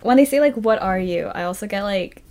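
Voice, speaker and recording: A woman's voice: medium pitch, animated, fairly quick, a little mellow. A woman co-hosting a podcast, telling stories in a giggly way with plenty of fillers. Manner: giggly, filler-heavy storytelling. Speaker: podcast co-host. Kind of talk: casual podcast chat.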